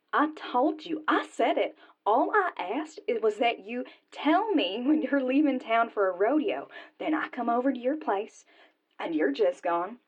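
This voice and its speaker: A woman's voice: a little high, dull audio. An actress playing a fed-up, scolding Texan girlfriend in a radio drama, blunt and scornful.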